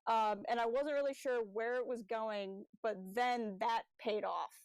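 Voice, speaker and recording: Lady cohosting a podcast, voice a little high, super dry recording, just casually chatting thru a review. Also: fairly even intonation, a little slow, smooth, no room sound. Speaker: podcast co-host.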